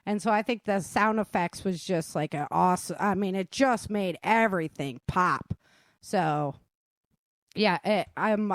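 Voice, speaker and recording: A woman hosting a relaxed, conversational interview as an author and producer. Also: lowish voice, no room sound, studio quality. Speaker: host, author and producer of an audio drama. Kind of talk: casual interview chat.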